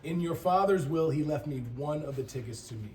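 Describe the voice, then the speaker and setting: A man's voice: a little high, lively intonation, a little fast, over a noisy crowd, natural voice. A man playing a cocky, shameless ex-boyfriend in a live comedy table read. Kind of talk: live comedy script reading. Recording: echoey, distant mic, loud noise.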